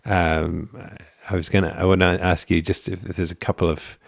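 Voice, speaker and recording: Very deep gravelly male interviewer. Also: level tone, normal speed, no room sound, studio quality. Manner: rambling, tentative questions. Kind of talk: interview questions.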